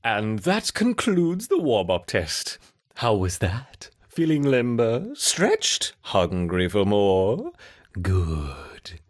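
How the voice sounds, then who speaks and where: A mad scientist for a video game, gleefully cruel and theatrical. His voice is rough and husky, swooping enormously from low murmurs to high shrieks. He draws words out slowly with mock sweetness, as if coddling his test subjects. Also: wildly swooping pitch, full character voice. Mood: patronising, calculating. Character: unhinged male scientist, gleefully cruel, running insect experiments. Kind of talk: scripted video game voice acting.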